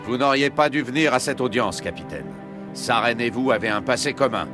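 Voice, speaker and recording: An actor playing an indignant human ambassador in a French video game, forceful and official. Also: male voice, medium pitch, fairly quick, over music, lightly acted. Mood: stern.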